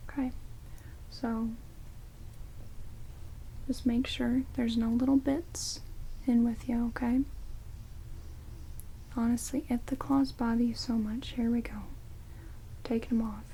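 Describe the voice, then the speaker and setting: Woman playing a slow, whispering, sarcastic vampire woman. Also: a little high, flat delivery, low-quality recording. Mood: grudging, brisk. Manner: soft-spoken whispering, dry, snarky, casual. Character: rude, sarcastic female vampire grudgingly tending wounds. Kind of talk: whispered ASMR roleplay. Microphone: close binaural microphones. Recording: hissy rain noise on binaural mics.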